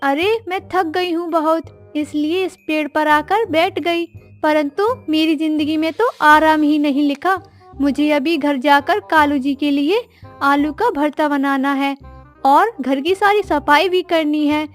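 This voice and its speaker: A discontented crow wife from a children's cartoon, acted by a woman, speaks in a high voice. She is dramatic and scheming, with a complaining way of putting things. Soft music plays behind her. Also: measured pace. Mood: weary, complaining. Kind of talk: children's animated story acting.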